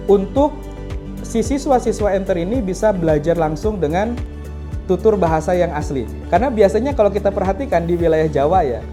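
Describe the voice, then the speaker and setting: A woman's voice: medium pitch, mellow. A woman speaking in a casual, explanatory way as a school representative in a promotional interview. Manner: casual, explanatory, frequent "nah" and "gitu kan".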